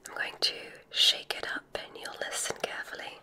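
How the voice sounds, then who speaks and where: A woman whispering an ASMR roleplay as a kindly witch examiner, giving soothing, deliberate instructions in a breathy voice. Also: pushed and bright.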